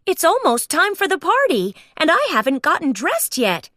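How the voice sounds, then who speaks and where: Seeking a woman to play an excitable, cheerful storybook mouse, male, high-voiced and animated. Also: normal speed, dry recording, studio quality, full character voice. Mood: excited, hurried.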